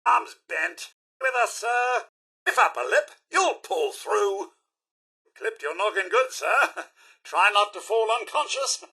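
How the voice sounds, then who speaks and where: An actor playing a fussy, chipper robot butler in a video game, with a British accent and a polite, pompous, theatrical manner. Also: male voice, high-pitched, lively intonation, takes it slowly, gravelly, pushed and bright, slightly rough, full character voice. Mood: fussy, concerned. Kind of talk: video game character voice lines.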